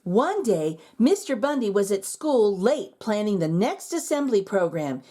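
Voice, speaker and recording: A woman reading a children's picture book aloud in an expressive, storytelling manner. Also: medium pitch, unhurried. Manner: expressive and animated.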